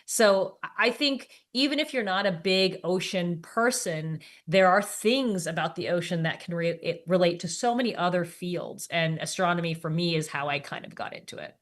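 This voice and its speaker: A woman with a slightly low voice talks as a science reporter being interviewed. She explains casually, in loose, informal phrases that pause and branch into asides. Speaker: science podcast host, interview guest.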